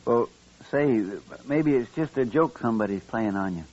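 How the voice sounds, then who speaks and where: A man acts a simple, eager deputy from a radio western. His voice is husky, mid-pitched and deferential. The old recording sounds dull. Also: moderate pace, darkish tone, lightly acted. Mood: mild. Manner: folksy, hesitant, deferential. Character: eager, respectful, simple frontier deputy sidekick. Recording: muffled vintage radio recording.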